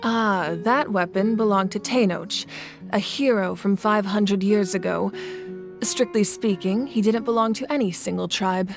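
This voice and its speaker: A calm, thoughtful collector from a video game, who recounts old legends in a measured, reflective tone. Her voice sits in an ordinary middle range. Game music plays behind her. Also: mid-range voice, vocal fry. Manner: measured, reflective storytelling with soft laughs. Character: adult woman collector, calm and thoughtful storyteller. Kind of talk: video game cutscene dialogue.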